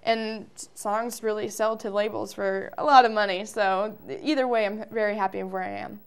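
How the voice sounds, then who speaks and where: A woman's voice: mid-range voice, normal speed, clean tone. A woman in her early twenties with an American accent, giving chatty, informal answers as a musician guest in an interview. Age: early 20s.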